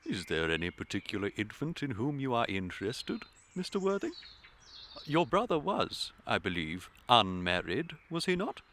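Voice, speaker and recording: A man's voice: medium pitch, huge pitch swings, a bit bright, slight background noise. An actor playing a fussy, kindly middle-aged English clergyman in a radio comedy, pompous and unctuous in manner. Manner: unctuous, deliberate, sermonising. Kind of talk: period stage comedy radio acting.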